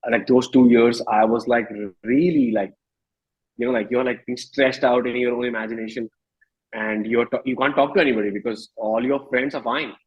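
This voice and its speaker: Reflective Indian-accented man, slightly husky. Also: mid-range voice, fairly even intonation, muffled recording. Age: 30s. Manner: reflective, halting, rephrasing often. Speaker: musician interview guest. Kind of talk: candid conversational interview.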